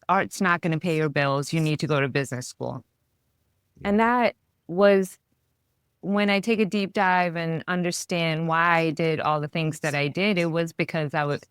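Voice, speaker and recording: A woman from London, a venue founder being interviewed, talking in an animated way with frequent laughs and restarts. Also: low-pitched, clean tone, dry recording, clean studio sound. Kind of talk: casual interview chat.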